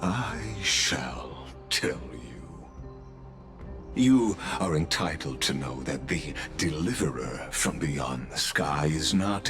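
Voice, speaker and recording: An actor playing a scheming, silver-tongued advisor in a video game, speaking in a formal, grandiose, persuasive manner. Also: male voice, extremely low pitch, heavily acted. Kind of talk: scripted video game voice acting.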